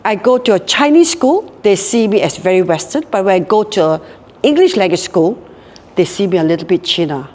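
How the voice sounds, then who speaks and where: A woman's voice: mid-range voice, very animated, a little fast, a little mellow, in a large hall. A Singaporean woman in her later years, speaking reflectively in an interview and often repeating words as she thinks. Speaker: guest, hotel group co-founder. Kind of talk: casual interview talk.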